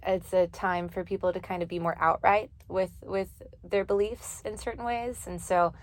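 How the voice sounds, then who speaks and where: A woman's voice: mid-range voice, fairly even intonation, normal speed, dry recording. Earnest young woman singer, dry close sound. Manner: earnest, laughs easily, many fillers. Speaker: singer and poet guest. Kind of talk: casual interview talk. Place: office studio.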